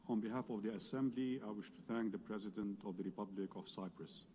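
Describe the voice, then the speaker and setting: A man's voice: on the low side, fast talker, dark tone, a little hiss or hum. A man presiding over an assembly, making formal procedural announcements. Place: large assembly hall.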